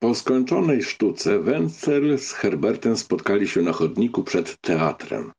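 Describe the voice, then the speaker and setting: A man narrating a literary audiobook from a script, with a gravelly, husky, mellow voice. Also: fairly low pitch, sing-song, measured pace.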